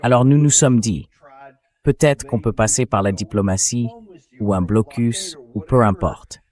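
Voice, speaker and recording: A man's voice: lowish voice, a little slow, darkish tone. A man delivering blunt, argumentative political commentary in a dubbed, conversational style.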